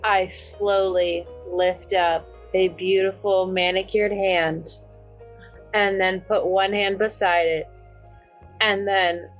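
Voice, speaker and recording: A woman improvises casually as a tabletop roleplayer, sassy and playful. She speaks at a leisurely pace, and the sound is slightly muffled with faint music underneath. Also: mid-range voice. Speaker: player in a tabletop roleplaying podcast. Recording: dull recording with music underneath.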